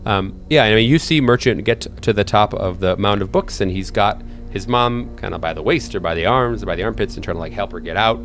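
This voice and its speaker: A man working as game master, improvising horror narration in a halting way, often repeating words as he thinks aloud. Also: on the low side, a bit bright, a little hiss or hum.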